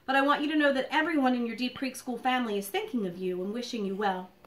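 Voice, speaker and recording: A woman teacher speaking to young children in an expressive, deliberate read-aloud style, recorded at home in a living room. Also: high voice, a little hiss or hum, rough recording. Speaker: elementary school teacher reading a picture book aloud.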